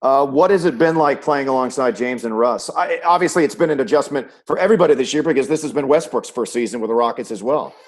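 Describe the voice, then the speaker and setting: A man's voice: medium pitch, quick pace, few pauses. A man who works as a sports broadcaster, hosting a conversational interview and posing questions.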